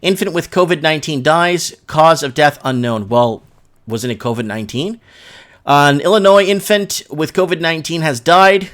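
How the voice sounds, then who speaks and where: A man's voice: fairly high pitch, moderate pace, fairly bright tone. A man hosting a casual livestream, talking in an informal, slangy, rambling way as he chats and reads comments and news aloud. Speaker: live show host.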